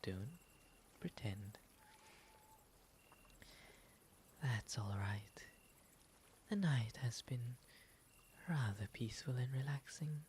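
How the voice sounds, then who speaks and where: A man voicing a gentle, refined owl demon in an ASMR roleplay, with soft, breathy, soothing, formally polite speech. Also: medium pitch, expressive. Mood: tender, soothing. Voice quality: soft, breathy, often whispery. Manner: soothing, genteel, unhurried formal phrasing. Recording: noticeable ambient background noise.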